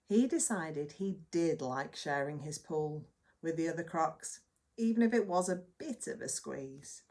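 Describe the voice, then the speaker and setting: Woman, children's storytelling, nursery teacher, unhurried, very varied pitch, dramatic emphasis, soft huskiness. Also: expressive. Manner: dramatic emphasis, drops to hushed tones.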